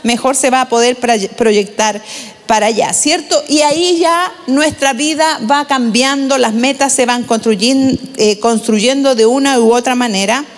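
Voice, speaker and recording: High-voiced woman pastor preaching. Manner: measured, slows down to read scripture aloud. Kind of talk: sermon with scripture reading. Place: large church stage with pink backdrop. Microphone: handheld microphone. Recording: long hall reverb.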